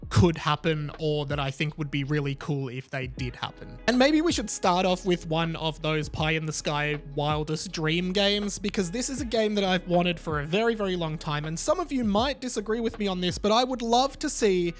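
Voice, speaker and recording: High-voiced man chatting over faint music. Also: moderate pace, few pauses. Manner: casual, slangy, chatty. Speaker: YouTube video host. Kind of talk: enthusiastic video game commentary to viewers. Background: background music.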